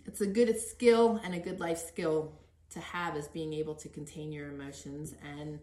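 A woman's voice: low voice, fairly even intonation, moderate pace, darkish tone. A middle-aged woman hosting a podcast interview in an earnest, encouraging, conversational way. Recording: echoey untreated room.

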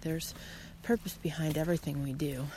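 A woman with a quite low-pitched voice talks casually as the host of a solo podcast. She is earnest and encouraging, breaking her thoughts into short phrases with pauses. Clear background noise runs under the recording. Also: steady tone. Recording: clearly noisy background, some clicks.

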